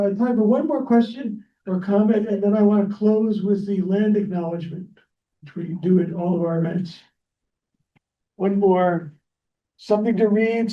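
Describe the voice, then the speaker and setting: A man moderating a library panel, speaking off the cuff in a halting way. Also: sits high. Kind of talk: unscripted remarks at a library panel. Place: echoing library event room. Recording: roomy, muffled, distant microphone.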